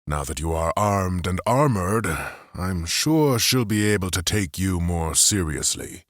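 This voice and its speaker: A massive, stoic warrior in plate armor, weary with duty, speaks in grave, measured words, as a male narrator voices him. His voice is low and slow, recorded dry and close. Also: expressive, no echo. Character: huge stoic armored man, weary leader.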